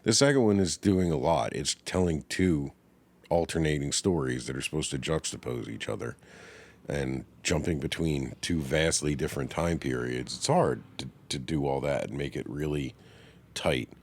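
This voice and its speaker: A man co-hosting a casual film podcast, animated and profane, laughing often. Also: a bass voice, normal speed. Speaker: podcast co-host.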